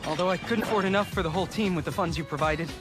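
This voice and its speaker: An actor playing a conscientious, exasperated young assistant in an animated comedy, reproachful and pleading. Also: male voice, high-pitched, racing, music in the background, natural voice. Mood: worried protest. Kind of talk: animated comedy dub acting.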